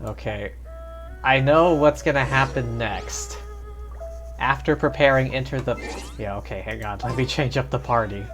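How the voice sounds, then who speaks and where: Casting: male game streamer, mid-pitched, casual think-aloud commentary, soft music underneath. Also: normal speed. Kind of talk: casual live gameplay commentary.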